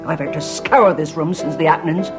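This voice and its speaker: An actress playing a prim, stern middle-aged innkeeper in a radio farce, brisk and disapproving. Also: female voice, deep voice, music in the background. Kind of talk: stage farce acted for radio.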